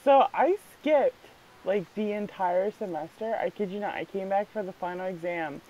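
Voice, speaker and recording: A woman whose first language is Spanish, chatting casually on a vlog, animated and quick to swear and laugh. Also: mid-range voice, highs cut off, a little hiss or hum. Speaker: vlog co-host. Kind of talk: casual chat.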